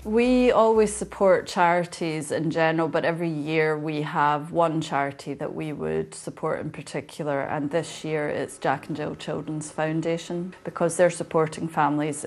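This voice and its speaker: Scottish woman co-founder, low-pitched, steady interview delivery. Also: moderate pace, vocal fry, few pauses. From Scotland. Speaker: website co-founder. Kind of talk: interview answers.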